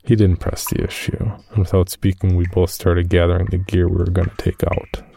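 A man playing an uneasy cave explorer, recounting his expedition in measured first-person horror narration. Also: sits low, level tone, vocal fry, dark tone, breathy edge, no room sound, full character voice. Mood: uneasy, subdued. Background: music.

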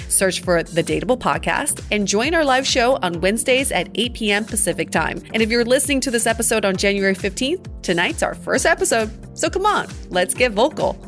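A woman's voice: mid-range voice, fairly bright tone. A woman in her late thirties co-hosting a dating podcast, upbeat and playful, laughing often. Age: 30s. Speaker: podcast co-host. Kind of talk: casual podcast chat.

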